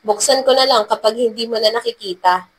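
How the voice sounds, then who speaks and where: A woman's voice: on the high side, fairly quick, slightly rough. A woman voicing a serious, guarded young woman, a former security agent in an audio love story, curt and matter-of-fact. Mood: serious, dismissive.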